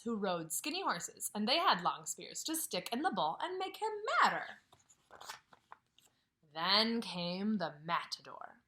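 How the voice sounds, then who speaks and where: Bright, lively kindergarten teacher reading aloud. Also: female voice, mid-range voice. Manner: expressive storytelling with questions to children, drops to whispers. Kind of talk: reading a picture book aloud to young children. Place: at home, near a couch.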